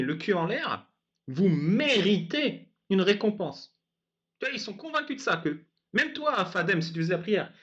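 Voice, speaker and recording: A man hosting a casual talk, sarcastic and laughing often. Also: high voice. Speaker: host of a debate channel. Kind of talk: casual mocking chat. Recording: dull lo-fi sound.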